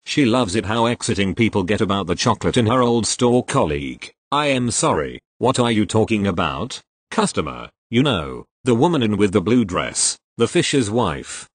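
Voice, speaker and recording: A man's voice: fairly low pitch, big pitch swings, normal speed, breathy edge, no room sound. A man reading posts aloud in scripted narration, voicing speaker labels and symbols literally.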